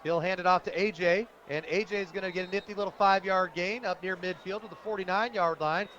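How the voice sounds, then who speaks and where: A high-voiced American man gives live football play-by-play for radio. He is animated, colloquial and free with his opinions. The sound is muffled, with clear outdoor stadium noise behind him. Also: a little fast. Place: stadium press booth, radio broadcast.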